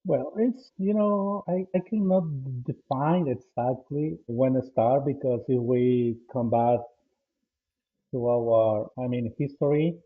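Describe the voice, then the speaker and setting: A man in his forties from El Salvador with a Salvadoran Spanish accent, speaking English as a second language. He talks haltingly as a podcast guest, often restarting and repeating words. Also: mid-range voice, breathy edge. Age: 40s. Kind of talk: casual interview answers. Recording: tinny phone-line audio.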